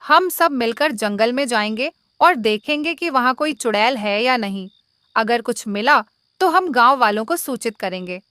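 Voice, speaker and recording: A wise village priest from a storybook read by a woman, courteous and measured. The voice sits fairly high, with a dry, close sound. He is calm and considered in how he speaks. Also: clear, resonant tone, dry recording. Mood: resolute. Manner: measured, polite.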